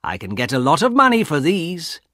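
A man's voice: on the high side, huge pitch swings, bright, forceful tone, dry recording, clean studio sound, pitched above the performer's own voice, full character voice. A man doing a greedy, taunting young man. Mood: gloating. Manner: slow and taunting. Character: greedy, mocking young man, cold and smug.

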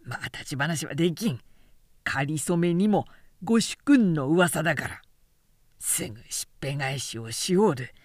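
A woman voicing a stern, loyal male general who speaks in a measured, admonishing way, in an audiobook novel. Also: low voice, animated, no room sound, clean studio sound. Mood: calm questioning. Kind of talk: literary audiobook dialogue.

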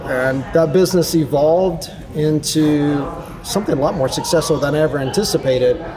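A man's voice: on the high side, moderate pace, a crowd in the background, low-quality recording. A man from Charlotte, a podcast guest, telling his story in an easygoing, upbeat way. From Charlotte, North Carolina. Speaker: guest, developer. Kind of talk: casual interview chat. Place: local brewery.

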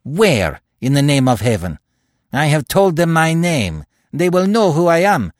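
A man's voice: a little high, big pitch swings, unhurried, dry recording, clean studio sound, voice pushed up. A man voicing a big, fearful and resentful young man who speaks in a raised, strained way. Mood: enraged.

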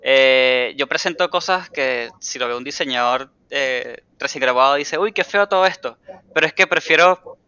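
Bright, clear-voiced man, slightly lo-fi. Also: mid-range voice, normal speed, no echo. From Latin America, outside Argentina. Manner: informal, acts out quoted remarks. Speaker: interviewer. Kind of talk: casual conversational interview. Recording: dry but slightly dull, band-limited sound.

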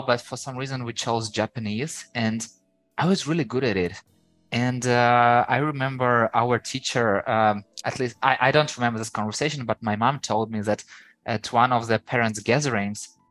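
A man's voice: medium pitch, a bit bright, no room sound, poor audio. A young man with a Ukrainian accent, chatting casually as a podcast guest, wandering through his answers with many fillers and restarts. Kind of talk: casual interview chat.

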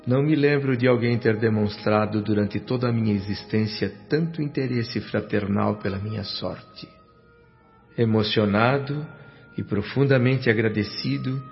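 An actor playing a humble, emotional doctor's spirit who narrates a Brazilian radio drama in an earnest, reflective, reverent way. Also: male voice, lowish voice. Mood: moved, grateful. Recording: dull audio with background music.

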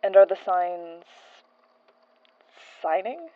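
Awkward archivist, dry dull sound. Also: female voice, lowish voice, animated, drawn-out words, dry recording. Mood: awkward, curious. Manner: hesitant, slightly awkward. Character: modern female archivist, awkward, nervous, wryly curious. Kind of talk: naturalistic audio drama acting.

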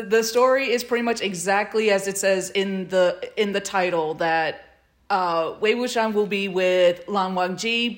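A woman with a medium-pitched voice talks informally as a podcast co-host. She speaks in a halting, conversational way, searching for the right words. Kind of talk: casual chat about fan fiction.

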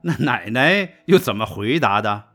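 Man playing an animated, insistent father. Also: medium pitch, normal speed, soft background music. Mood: instructive. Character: insistent, instructive father of a young girl. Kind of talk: radio drama acting.

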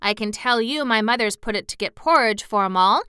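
A woman doing a young Yorkshire maid, broad dialect, talks fast and freely, raised and bright, good-tempered, dry close sound. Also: fairly high pitch, clean tone, no room sound. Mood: chatty. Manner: broad dialect, rattling and outspoken.

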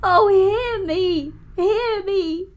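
A woman's voice: mid-range voice, normal speed, darkish tone, breathy edge. A woman voicing a frantic male asylum patient who begs desperately and imploringly, close to tears. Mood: desperate pleading.

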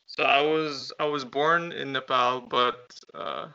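A man's voice: mid-range voice, steady tone, slow pace, vocal fry, sharp tone, clean tone. A young man talking as a podcast guest, easygoing and rambling, with lots of "you know" and "like". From United States. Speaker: guest, campaign founder. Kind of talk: casual podcast interview. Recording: dull lo-fi sound.

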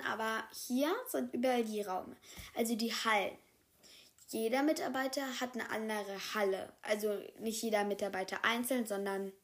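A chatty office worker, played by a woman, leads a newcomer around in a slightly high voice. She rambles, stumbles over her words and corrects herself mid-sentence in an improvised role-play. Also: fairly bright tone. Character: chatty female office worker giving a new secretary a tour.

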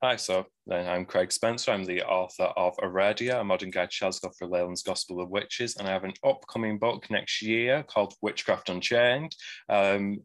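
Chatty Mancunian man, lowish voice. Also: fairly even intonation, moderate pace, fairly bright tone. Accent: Northern English, Mancunian. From Greater Manchester, England. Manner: chatty, rambling with many ums. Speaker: guest author. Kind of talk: casual interview chat.

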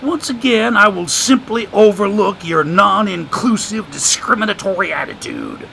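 A man voicing a folksy, wisecracking homeless man who drawls with rough grammar and dry sarcasm. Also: high-pitched, normal speed, bright, forceful tone, slight background noise, voice pushed up, heavily acted, low-quality recording. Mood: mock-offended.

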